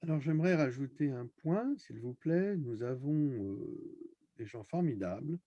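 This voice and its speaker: A man's voice: medium pitch, animated, moderate pace, no echo, highs cut off. A man hosting an online discussion, speaking in an impassioned, scornful rant and repeating phrases for emphasis. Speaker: host of an online video discussion.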